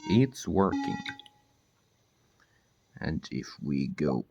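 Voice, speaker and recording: A man with a low, gravelly voice hosts a casual DIY demonstration. He talks slowly and hesitantly, searching for words, with a lively swing in his pitch. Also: dull audio, over music. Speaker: DIY video host.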